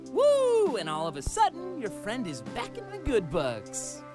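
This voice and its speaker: A man's voice: very high-pitched, wildly swooping pitch, measured pace, voice pushed up, exaggerated voice. A man voicing a sociable furry friend in a visual novel, casual and exuberant with dry asides. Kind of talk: visual novel character dialogue. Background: game music.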